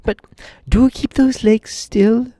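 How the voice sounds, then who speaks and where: A man voicing a bossy, impatient young boy in a storybook reading, talking high and shrill. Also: fairly even intonation, takes it slowly, no room sound. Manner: shrill, exasperated shouting.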